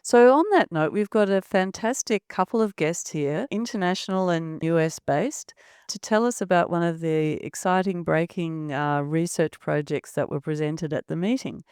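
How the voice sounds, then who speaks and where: A woman's voice: fairly low pitch, normal speed, darkish tone, clean tone, dry recording, clean studio sound. A woman hosting a medical podcast, introducing guests in an upbeat, enthusiastic way. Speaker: podcast host.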